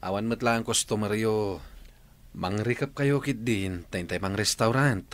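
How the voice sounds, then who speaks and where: A man's voice: fairly low pitch, measured pace, dry recording, lightly acted. An actor playing a friendly but firm man in a radio drama. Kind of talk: Ilocano radio drama acting.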